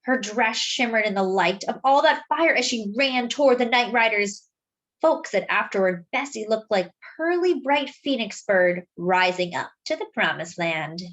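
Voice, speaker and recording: A woman reading a children's story aloud in an expressive, dramatic, deliberate way, as a storyteller speaking to young listeners. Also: a little high, poor audio.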